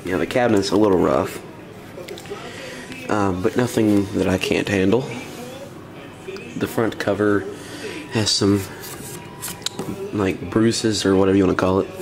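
A man's voice: sits low, fairly even intonation, unhurried, gravelly, long pauses, rough recording. A man giving a casual, offhand demonstration as a hobbyist, speaking informally into a handheld camera in a room at home. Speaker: audio hobbyist presenter. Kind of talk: casual handheld demo commentary. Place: room at home with wooden floor.

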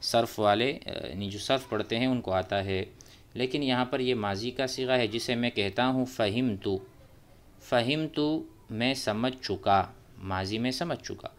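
Male language teacher, unhurried and patient, mid-pitched voice, repeats phrases. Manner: repeats Arabic phrases, then explains them in Urdu. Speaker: Arabic teacher. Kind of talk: slow explanatory lesson. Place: voice-over on a screen-recorded whiteboard.

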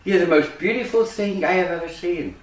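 Man putting on storybook frog, high voice, friendly, admiring, reassuring, roomy distant sound. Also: a little fast, lightly acted. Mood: kind, admiring. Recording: echoey room, distant microphone.

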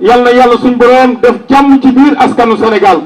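A man's voice: very high-pitched, fast talker, recorded from a distance, rough recording. A Senegalese man announcing condolences to mourners, relaying a message at an outdoor gathering.

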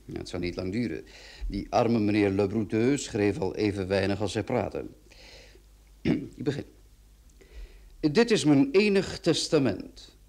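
A man playing a brisk, fussy notary in an old Dutch radio play, speaking formally and deliberately. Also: on the low side, animated, gravelly, a little mellow. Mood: formal, solemn. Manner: formal, deliberate, shushing interruptions. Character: brisk, fussy male notary reading out a will.

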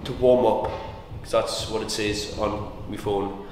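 A man's voice: fairly low pitch, level tone, measured pace, slight background noise. A young man, chatting casually to a handheld camera in a large indoor gym between heavy sets, swearing now and then. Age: young adult. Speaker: fitness vlogger. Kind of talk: casual gym vlog narration. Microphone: handheld camera close up. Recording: loud music over the voice. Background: loud gym music.

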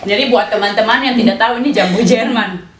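A woman's voice: on the high side, a little fast, noisy background. A young woman with a Jakarta accent, hosting a laughing, playful vlog chat and talking to viewers. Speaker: vlog host. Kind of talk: casual group chat, addressing viewers. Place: living room, indoors. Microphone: far camera. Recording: echoey room from a distant camera.

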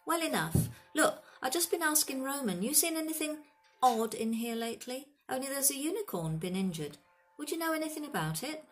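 A woman's voice: sits high, animated, a little fast, faint music behind, voice pushed up. A woman voicing a big, kindly but grumpy gamekeeper man in a storybook, blunt and colloquial in rustic dialect. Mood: probing, impatient. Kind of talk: storybook character voice.

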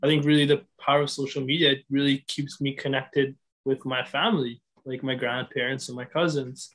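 A man's voice: mid-range voice, flat delivery, a little fast, a bit bright. A young man from Sri Lanka talking casually on a video call panel, chatty with lots of "like" and "right". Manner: chatty, many likes and rights.

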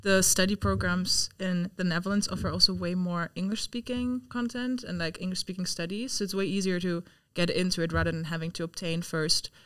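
A woman's voice: on the low side, fairly even intonation, fairly quick, hardly any pauses, a bit bright, smooth, no room sound. Upbeat young woman hosting a podcast. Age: young adult. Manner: chatty, upbeat, many "yeah" fillers. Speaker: podcast host. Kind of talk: casual interview chat. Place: university studio room. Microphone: desk mic on stand.

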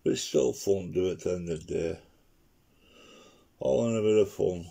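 A man with a croaky voice from an infection, chatting in a rambling way as he demonstrates watercolour painting in his living room, recorded by a camera on the table. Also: sits low, normal speed, warm tone. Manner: rambling, chatty, talks to himself while painting. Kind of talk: casual painting demo commentary. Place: living room at home, indoors. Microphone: camera on the table, speaker off-screen.

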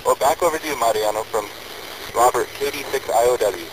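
An American man chats over amateur radio. He sounds easygoing and friendly, with a mid-pitched voice. The radio link is clearly noisy, with engine hum behind him. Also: fairly quick. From United States. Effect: radio transmission. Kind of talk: casual chat over ham radio.

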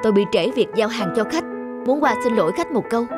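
A man's voice: extremely high pitch, animated, quick pace. An actor playing a big, kindly, harried young delivery man, polite and apologetic, in a cartoon drama. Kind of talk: animated drama dubbing. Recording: loud music behind the voice.

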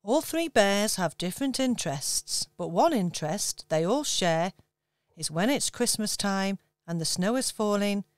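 A woman giving measured storybook narration for a radio pantomime. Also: mid-range voice, clean tone, no echo, clean studio sound.

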